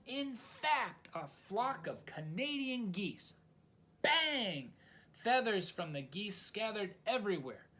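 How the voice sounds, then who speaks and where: Man, children's story reader, dramatic delivery, swooping animated intonation, unhurried pace. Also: high voice. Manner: dramatic, asks the listener questions. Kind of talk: expressive read-aloud storytelling for young children.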